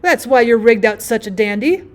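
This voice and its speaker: A woman voicing a welcoming, amused gentleman passenger in an audiobook, hearty and exclamatory. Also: fairly high pitch, expressive, normal speed, natural voice. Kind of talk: acted audiobook storytelling.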